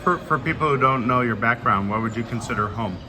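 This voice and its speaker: A man interviewing a basketball recruit, asking brisk, direct questions. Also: medium pitch, noisy background.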